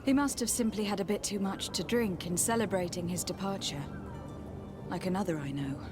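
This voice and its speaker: Woman playing a composed noblewoman, mid-pitched. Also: music in the background, natural voice. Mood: polite. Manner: measured, polite. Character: composed, courteous young noblewoman envoy. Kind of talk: video game cutscene acting.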